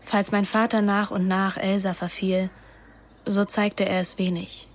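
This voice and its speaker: An actress playing an introspective young woman narrating a literary radio play in a measured, languid manner. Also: medium pitch, flat delivery, lightly acted. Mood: reflective. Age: young adult. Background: music.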